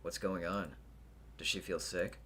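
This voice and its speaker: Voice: deep voice, fairly even intonation, unhurried, a bit bright, slight background noise, pitched below the performer's own voice. A performer voicing a blunt, impatient but awkwardly concerned teenage boy in a visual novel reading. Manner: blunt, gruff, slangy. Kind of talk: visual novel character acting. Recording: music behind the voice.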